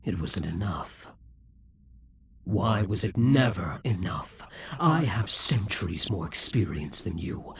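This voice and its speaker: A haughty demon king of the audio drama, ages old and bent on revenge, as a man acts him out. His voice is deeply husky and breathy, drawn out slowly, with a lively rise and fall that sinks into hissing whispers. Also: exaggerated voice. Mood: incredulous. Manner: slow, theatrical, hissing whispers at times. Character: proud vengeful male demon king, centuries old. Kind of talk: audio roleplay acting.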